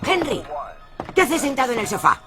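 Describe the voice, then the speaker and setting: A woman's voice: high-pitched, big pitch swings, a little fast, music in the background. An actress with a Castilian Spanish accent playing a domineering, fanatically religious farm mother in an audio drama, stern and accusing. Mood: stern, irritated.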